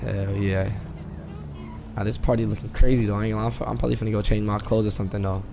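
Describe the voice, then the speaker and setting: Low-voiced young man, noisy headset audio. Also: fairly even intonation, rapid-fire, creaky. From California. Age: young adult. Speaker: streamer playing online role-play. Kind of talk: casual slangy in-character chat. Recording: noisy game voice chat, background noise.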